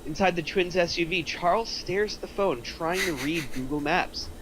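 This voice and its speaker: A man's voice: a little high, normal speed, noisy background. A man narrating, reading out screenplay stage directions at a table read.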